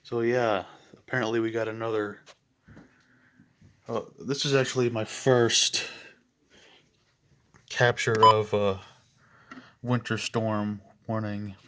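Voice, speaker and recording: Slow-talking man in casual vlog chat. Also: on the low side, flat delivery. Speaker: hobbyist filming weather radios. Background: faint noise, weather radios.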